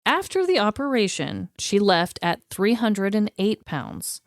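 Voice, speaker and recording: An American woman talks as a podcast co-host with a lively, moving pitch. She tells things informally and conversationally, in a dry recording with little room sound. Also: unhurried, no echo. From United States. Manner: chatty, informal. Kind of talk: casual conversational storytelling.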